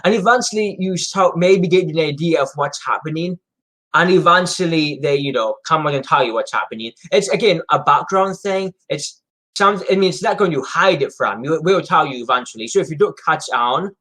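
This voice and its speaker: A man's voice: a little high, lively intonation, brisk, pushed and bright. A man co-hosting a casual fan discussion, speaking in a hesitant, rambling way and often repeating himself. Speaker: co-host of a Doctor Who discussion show.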